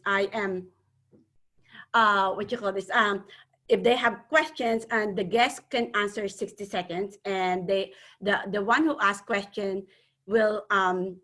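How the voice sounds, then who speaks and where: A woman from the Philippines with a Filipino accent, speaking earnestly as a webinar panel speaker and often pausing on fillers. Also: medium pitch, fairly bright tone. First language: a Philippine language. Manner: earnest, hesitant with many fillers. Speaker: panel speaker, networking organizer.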